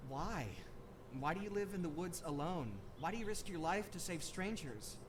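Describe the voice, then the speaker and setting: A persistent, earnest village boy from an animated tale. The voice sits a little high and hesitates as it pleads. Background noise is clearly audible. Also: moderate pace. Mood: earnest, curious. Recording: noisy ambience behind the voice.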